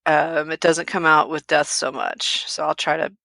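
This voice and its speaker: A woman reasoning in a measured way during a casual book discussion on a podcast. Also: sits low, fairly even intonation, creaky, sharp tone, no room sound. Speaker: podcast panelist.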